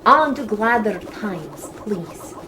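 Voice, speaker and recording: Casting: proud sci-fi warrior woman, mid-pitched, formal dramatic speech, faint noise behind. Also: sing-song, slow. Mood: troubled. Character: proud, honorable warrior woman from the far future. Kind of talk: audio drama acting.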